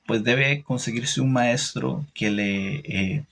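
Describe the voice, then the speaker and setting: A man with a Costa Rican Spanish accent giving an informal, didactic religious talk, opinionated, pausing and repeating phrases as he goes. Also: medium pitch, gravelly, a bit bright. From Costa Rica. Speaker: religious teacher hosting a livestream. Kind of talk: informal religious talk answering live comments.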